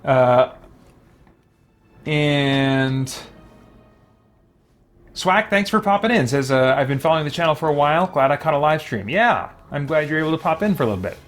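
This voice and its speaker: A man live-streaming a game, talking casually and haltingly as he thinks aloud and reads out viewer comments. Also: a little high, animated, a bit bright, faint music behind.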